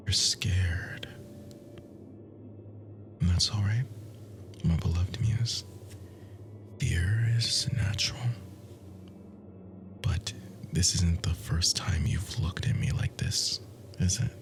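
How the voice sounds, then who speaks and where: A man playing a bitter, obsessive immortal monster spurned by a lover, in a breathy, theatrical ASMR roleplay monologue. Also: low-pitched, music in the background. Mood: menacing tenderness. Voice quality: breathy, hushed, whispery. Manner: slow, menacing, theatrical, intimate.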